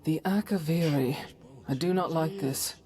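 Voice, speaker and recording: A woman voicing a devout, principled warrior companion in a fantasy game, formal, measured and earnest. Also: low voice. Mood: thoughtful, uneasy. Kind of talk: scripted fantasy game dialogue.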